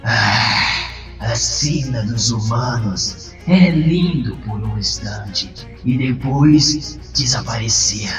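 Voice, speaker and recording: A man voicing a brooding, sorrowful comic-book villain with a slow, solemn delivery. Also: mid-range voice, reverberant, distant mic, over music, close to an everyday voice, low-quality recording. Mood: mournful, ominous.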